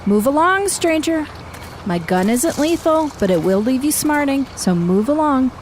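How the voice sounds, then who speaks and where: Guarded militia leader, noisy surroundings. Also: female voice, high voice, lively intonation, moderate pace. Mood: threatening. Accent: American. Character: tough, guarded female leader of a post-apocalyptic neighborhood militia. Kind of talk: naturalistic radio drama acting.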